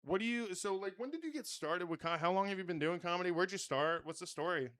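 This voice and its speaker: A man hosting a podcast in casual chat, with a very loud voice and a rambling, swear-laden way of talking. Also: high-pitched, fast. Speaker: podcast host.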